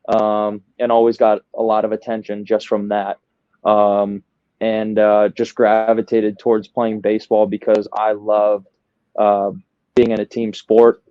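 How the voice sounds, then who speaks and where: A man's voice: lowish voice, clean tone, no echo, highs cut off. A young man from outside Chicago with a Midwestern American accent, talking casually and self-deprecatingly as a podcast guest. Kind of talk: casual interview talk.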